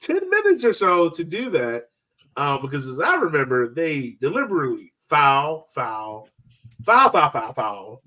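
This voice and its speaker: A man with a fairly high voice talks sports casually. His delivery swings widely in pitch as he recounts plays with excitable energy, like a podcast host among friends. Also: a little slow. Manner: excitable, animated storytelling.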